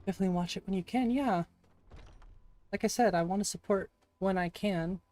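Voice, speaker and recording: A streamer with a raspy voice chatting casually with viewers during a live game stream. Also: medium pitch, brisk, mellow, soft background music. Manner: chatty, reacts aloud and answers chat. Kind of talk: casual live-stream chat while gaming. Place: home room.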